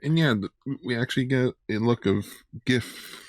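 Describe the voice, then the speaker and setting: A man's voice: medium pitch, moderate pace, dry recording, faint music behind. A man co-hosting a casual pop-culture podcast, chipping in with dry quips. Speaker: podcast co-host.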